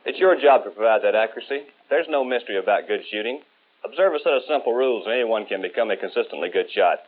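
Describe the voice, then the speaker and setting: A man's voice: lowish voice, animated, quick pace. An American man playing a firm, methodical Marine rifle instructor, lecturing with emphatic authority in a 1960s training film. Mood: firm, instructive. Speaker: military marksmanship instructor. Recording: tinny old 1960s film soundtrack.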